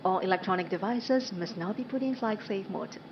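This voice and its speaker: Dull cabin announcement by woman, loud aircraft noise. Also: medium pitch, brisk. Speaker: cabin crew member. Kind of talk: live scripted passenger announcement.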